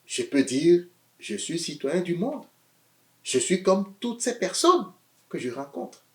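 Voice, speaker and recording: A man preaching a sermon in an animated way, swinging between loud emphatic phrases and quiet asides, often repeating words as he searches for them. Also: fairly high pitch, takes it slowly, roomy sound.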